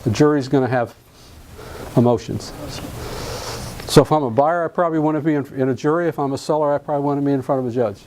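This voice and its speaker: A man's voice: mid-range voice, fast talker, long pauses, noisy background. A middle-aged man from Alabama, giving a live classroom lecture on real estate contracts in a folksy, joking way and quizzing his students. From Alabama, US South.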